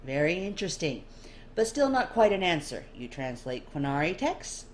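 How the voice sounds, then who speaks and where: Storybook horned brute, voiced by a woman: low, firm, brash interrogator, slight background noise. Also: sing-song, normal speed. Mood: probing, pointed. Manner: firm, blunt, pointed. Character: giant horned male warrior, brash, pointed, interrogating.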